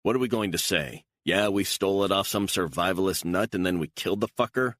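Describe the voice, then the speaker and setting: A man voicing an exhausted, angry young actress who swears and pleads in a shrill, strained voice. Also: fairly quick, a bit bright, no room sound, clean studio sound. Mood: frantic. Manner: shrill, swearing, near tears.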